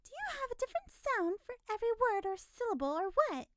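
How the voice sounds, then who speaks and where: Woman doing a nosy busybody lady, very high and shrill, loud, haughty, dry close sound. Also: sing-song, a little fast, no room sound, exaggerated voice. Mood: prying. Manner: shrill, incredulous questioning. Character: prying, haughty woman with the big nose.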